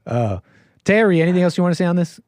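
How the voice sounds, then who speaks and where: A man's voice: high-pitched, expressive, rapid-fire, darkish tone, no room sound, clean studio sound. An American man hosting a call-in show, rambling casually with lots of restarts and laughs.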